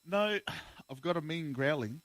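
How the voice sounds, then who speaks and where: A man's voice: fairly high pitch, expressive, unhurried, fairly bright tone. A young man, a guest in a casual podcast interview, bantering with slang and laughing often.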